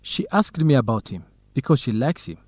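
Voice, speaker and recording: A man's voice: mid-range voice, very animated, moderate pace, slightly rough, dry recording. An actor playing an earnest, lovesick young male student researcher in an educational radio drama, talking in plain, simple sentences. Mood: earnest, doubtful.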